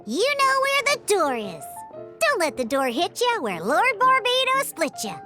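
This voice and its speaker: A woman's voice: extremely high pitch, huge pitch swings, measured pace, loud music behind the voice, exaggerated voice. An actress voicing a sassy, opinionated little cat-girl bartender in a video game, brash, bossy and given to lecturing. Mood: scornful. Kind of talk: English video game voice acting.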